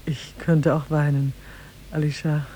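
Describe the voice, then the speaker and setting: An actress playing a young woman who rules disguised as a king, formal and measured, in a 1950s German radio play. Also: little pitch movement, mellow, rough recording. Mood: tender, wistful. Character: young woman ruling disguised as a king, regal, secretly tender. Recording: 1950s radio recording with faint rumble.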